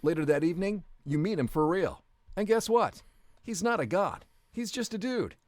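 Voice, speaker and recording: A man's voice: sits high, animated, normal speed, darkish tone, no room sound. A man narrating a story video in the second person, with a wry, jokey delivery.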